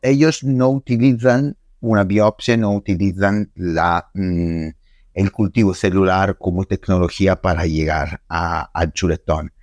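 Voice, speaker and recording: Italian-accented man, low and dry. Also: steady tone, darkish tone, no echo. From Italy. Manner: halting, many fillers and restarts. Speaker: guest scientist. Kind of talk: casual podcast interview.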